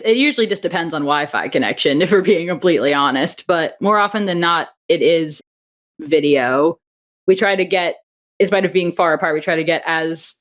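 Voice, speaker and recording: A woman, an audio drama writer and director, talking casually in an interview, chatty with frequent restarts and easy laughter. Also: low voice.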